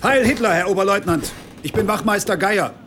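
An actor playing a gnarled, crafty army sergeant in a German radio drama, with a clipped, deferential military manner. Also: male voice, high-pitched, fairly quick, over loud music, close to an everyday voice. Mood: brisk, formal. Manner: military, clipped, matter-of-fact.

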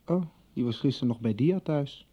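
An actress playing a concerned but defiant friend, questioning and emphatic, in a radio soap. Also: female voice, rumbling low, animated, brisk, muffled recording. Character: concerned, indignant friend, defiant yet caring. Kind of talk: 1990s Dutch radio soap acting.

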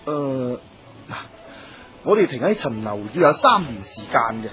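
An earnest scholar-official with a mid-pitched, very animated Cantonese delivery. He is courteous and formal in old radio-play manner, with music clearly underneath. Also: moderate pace. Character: dutiful, courteous scholar-official husband, filial son. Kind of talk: Cantonese radio drama acting.

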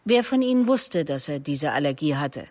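A woman's voice: low-pitched, big pitch swings, normal speed, darkish tone, no room sound. A woman acting in a German radio drama as a composed police investigator, formal and controlled as she questions. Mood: probing, firm. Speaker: actor playing a police investigator.

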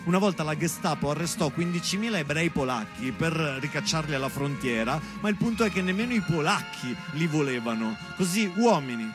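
A man delivering a storytelling theatre monologue as if to his daughter, with conversational asides and dramatic emphasis. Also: on the high side. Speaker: stage actor and monologuist.

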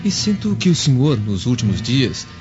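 A man acts as a deferential, reflective servant in a radio drama. His voice sits at a middle pitch and his phrasing is measured and respectful. Faint music plays under a slightly dull recording. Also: very animated, darkish tone, natural voice. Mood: respectful, thoughtful. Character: thoughtful, deferential male slave and confidant.

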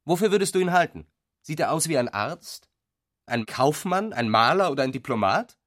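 An actor in a radio drama playing a jealous, brooding husband and intellectual, lecturing emphatically and scornfully. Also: male voice, fairly high pitch, lively intonation, normal speed, a bit bright. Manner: emphatic, didactic, scornful, spacing words out. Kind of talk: literary radio drama acting.

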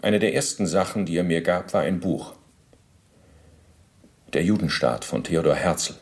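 An actor playing a former SS officer under interrogation in a radio drama, recounting in a precise, bureaucratic, self-justifying way. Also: male voice, sits low, measured pace. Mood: matter-of-fact, self-justifying.

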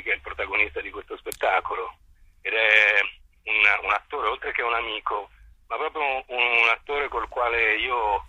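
A man giving reflective interview answers as a theatre director, articulate and formal, choosing words deliberately. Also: on the low side, pushed and bright, breathy edge, no room sound, rough recording.

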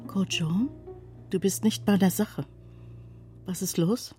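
An actress playing a cooing, possessive lover in a radio drama, speaking intimately in a breathy half-whisper. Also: female voice, medium pitch, slow pace, mellow, soft background music, lightly acted. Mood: teasing, suspicious.

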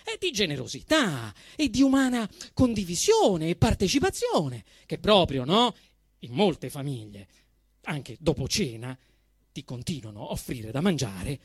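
A man's voice: a little high, breathy edge, dry recording, clean studio sound. A male actor with a Roman Italian accent delivers a theatrical satirical monologue, telling it in an emphatic, indignant, mock-solemn manner with dramatic swings. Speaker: actor performing a comic monologue.

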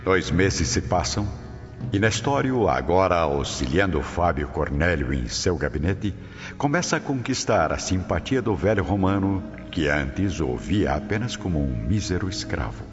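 A man's voice: low-pitched, big pitch swings, moderate pace. A man with a Brazilian accent narrating a scripted radio drama in a dramatic style. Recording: muffled, long reverb, music behind.

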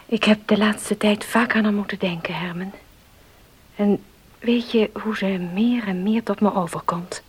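An actress in a Dutch radio drama playing a compassionate, earnest woman, speaking softly in a husky, breathy voice. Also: mid-range voice, fairly even intonation, a little slow.

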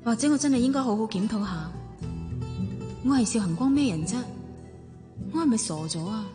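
Radio drama: actress as a proud, self-doubting mother, Hong Kong accent, higher-pitched, introspective storytelling in a long reverb. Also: animated, fairly quick, music in the background, close to an everyday voice. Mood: dejected, self-doubting. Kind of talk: radio drama narration and dialogue.

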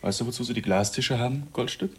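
An actor playing a cocky, crude, sweet-talking outlaw killer with a rough voice in a German radio drama. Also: male voice, mid-range voice, quick pace. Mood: smug, teasing.

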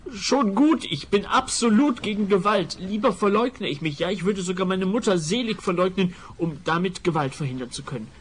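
An actor playing a timid, guilt-ridden sociologist in a comic radio play, anxious and over-earnest. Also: male voice, high-pitched, lively intonation, normal speed, hardly any pauses, faint music behind. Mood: flustered, pleading. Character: timid, squeamish, sentimental male sociologist, guilt-ridden pacifist.

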